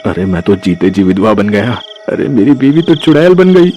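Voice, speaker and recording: A man playing an anxious, melodramatic village husband in an animated story, given to wailing exclamations. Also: on the low side, moderate pace, dull audio. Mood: wailing panic. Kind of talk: animated Hindi storybook acting. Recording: music behind the voice.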